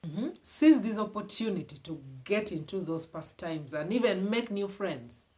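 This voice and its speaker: A woman's voice: medium pitch, expressive, moderate pace, warm tone. A woman with a Ugandan accent giving earnest heart-to-heart advice to her viewers, often repeating phrases for emphasis. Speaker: vlogger giving relationship advice. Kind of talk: casual advice talk to camera.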